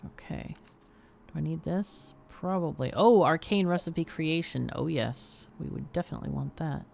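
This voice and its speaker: A woman's voice: deep voice, moderate pace, vocal fry, a little hiss or hum. A woman hosting a casual game livestream, exclaiming and talking to herself as she plays. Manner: expressive, exclaiming, talks to herself while playing. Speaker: game streamer and host. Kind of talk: casual livestream gameplay commentary and chat.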